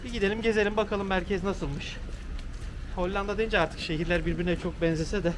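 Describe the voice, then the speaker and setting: Quick-talking man, higher voice, noisy background. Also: fairly even intonation. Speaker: travel vlogger. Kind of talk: casual chat while out on a trip. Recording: noisy outdoor and car ambience. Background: street and vehicle noise.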